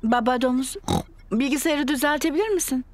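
A woman voicing a patient, cheerful cartoon mother pig with a gentle, sing-song motherly manner. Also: high-pitched, fairly quick, soft background music, lightly acted. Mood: calm. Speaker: Mummy Pig voice in a cartoon dub. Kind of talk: cartoon dubbing.

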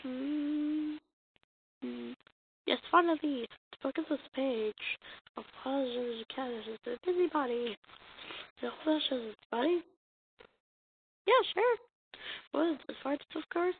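A muffled, slightly hissy home recording of a woman with a high voice. She reads cartoon comic dialogue in an amateur dramatic style, halting and stumbling as she goes at an unhurried pace. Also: creaky. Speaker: amateur comic dub voice actor. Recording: muffled lo-fi mic with hiss.

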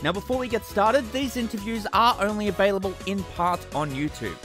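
A man's voice: sits high, fairly quick, music in the background. A man hosting an upbeat online cast interview, introducing and questioning his guest. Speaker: interview host.

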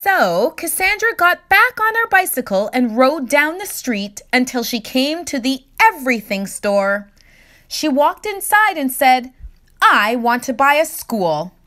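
A woman reading a children's story aloud in expressive narration, with deliberate, theatrical emphasis. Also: high-pitched.

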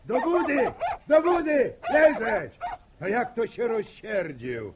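Slow, lively-pitched farmer father. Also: background noise, full character voice. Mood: scolding dog. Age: middle-aged. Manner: slow, folksy. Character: farmer father, folksy, genial, unhurried. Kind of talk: 1960s radio drama.

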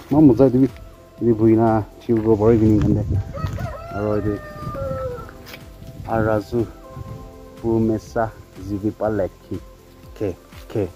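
A man from Nagaland, India, chatting casually and inquisitively as a vlogger interviewing villagers, often acknowledging what he hears. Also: fairly low pitch. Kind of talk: casual chat. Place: outdoors on a village road. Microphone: handheld camera, behind it. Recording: dull outdoor camera audio, loud ambient noise.

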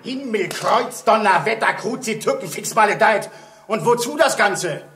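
An actor playing a gruff, exasperated, bossy police inspector in a German children's audio drama, blustering and commanding. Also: male voice, high voice, lively intonation, normal speed, reverberant, distant mic, noisy background.

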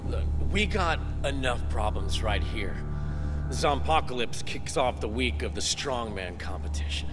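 A male actor voices a blunt male survivor in a zombie video game. He has a middle-pitched, casual, sweary delivery, with clear music playing behind him. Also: animated, unhurried, natural voice. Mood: exasperated. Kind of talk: video game dialogue acting.

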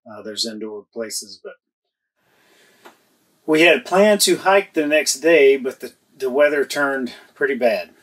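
A man in his thirties talking through a road trip, easygoing and conversational. His voice sits at an ordinary middle pitch, and he trails off and restarts now and then. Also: measured pace. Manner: relaxed storytelling with fillers and restarts. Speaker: travel vlogger. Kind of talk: casual chat. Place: RV interior, then outdoors at a campground.

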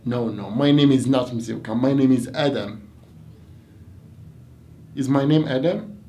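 A man in his twenties, an English teacher, doing a playful classroom dialogue in a meeting room, swinging from loud mock outrage to quiet. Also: mid-range voice, measured pace. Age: 20s.

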